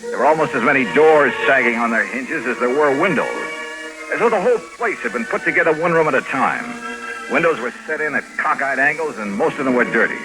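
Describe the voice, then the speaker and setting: Guy playing impatient american insurance detective on old 50s radio, lively mid voice, clipped and wry, music under it. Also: mid-range voice, brisk, natural voice, poor audio. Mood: wry narration. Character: brisk, impatient, wry male freelance insurance investigator. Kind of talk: 1950s radio detective acting and narration. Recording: vintage 1950s radio recording.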